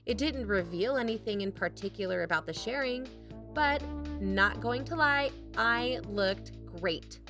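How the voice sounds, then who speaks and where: A woman's voice: fairly high pitch, unhurried, music in the background. A woman playing a sociable, slightly flustered aunt in an audio drama, chatty and conversational. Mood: wry, chatty. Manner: animated, chatty. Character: sociable, flustered aunt covertly looking around.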